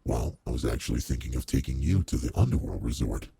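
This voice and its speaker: A man voicing a suave, teasing aristocratic demon lord in an intimate roleplay audio drama, with a deep, gravelly, husky voice and a leisurely manner. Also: expressive, fast, warm tone, no room sound, exaggerated voice. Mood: reassuring.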